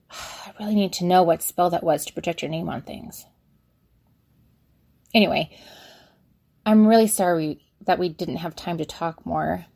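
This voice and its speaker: A woman's voice: fairly low pitch, a little slow, vocal fry, pauses often, mellow, no room sound. A young woman playing a flustered, chatty witch in an audio drama, rambling awkwardly and correcting herself as she dictates a letter. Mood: shy, chatty. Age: young adult. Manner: rambling, awkward, self-correcting, sighing asides. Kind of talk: audio drama monologue, conversational.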